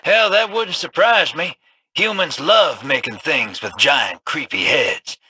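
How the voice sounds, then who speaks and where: An actor voicing a wily, scruffy male gambler in a video game, drawling folksy wisecracks in a husky voice. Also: a little high, lively intonation, slow, sharp tone, full character voice. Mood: sly.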